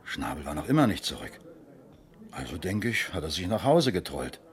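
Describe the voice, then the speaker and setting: A man being questioned in a radio crime play, a small factory owner who is embarrassed and evasive. His voice is deep and highly inflected, and he explains himself defensively. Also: very animated, normal speed. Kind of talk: 1970s German radio crime drama acting.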